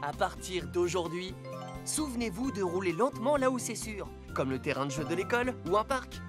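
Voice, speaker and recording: A woman voicing a calm, caring rescue robot car who patiently teaches children about safety in a dubbed cartoon. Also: on the low side, fairly quick, natural voice. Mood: instructive. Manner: patient, instructive safety lecture. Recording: studio voice with music behind.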